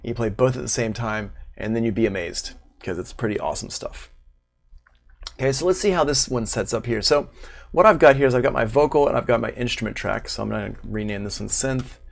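Man in his thirties, lower-pitched than average to middling voice, creaky fry, informal tutorial, thinking aloud. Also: fairly quick. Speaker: online music production instructor. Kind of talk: casual software tutorial. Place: small room at home, indoors, livestream.

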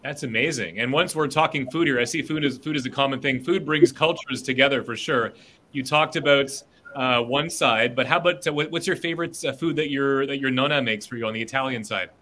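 Middle-aged man, upbeat encouraging interviewer, bright tone, webcam audio. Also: medium pitch, fairly quick. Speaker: interviewer host. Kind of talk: video call interview. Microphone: webcam on a video call.

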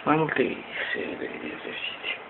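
Rambling man on tinny, noisy distant webcam. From Romania. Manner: rambling, halting, mild swearing. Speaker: amateur exercise demonstrator. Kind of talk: casual home vlog, improvised exercise demonstration. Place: small room at home. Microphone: webcam mic at a distance.

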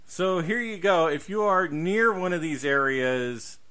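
A man's voice: a little high, animated, measured pace, sharp tone, clean tone. A man co-hosting a podcast, chatting casually about horror films with showy emphasis. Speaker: podcast co-host. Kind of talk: casual horror film chat.

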